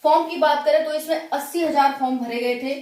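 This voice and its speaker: A woman's voice: high voice, steady tone, brisk, darkish tone, echoey room. A woman hosting an informal explainer for viewers, conversational and often checking in with rhetorical questions. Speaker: host of a government exam news channel.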